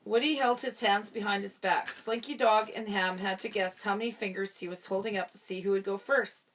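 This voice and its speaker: A woman's voice: medium pitch, fairly even intonation, a little fast, slight background noise, poor audio. Woman, mid-range voice, storybook read-aloud to a young child with chatty asides. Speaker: parent reading a storybook to a toddler.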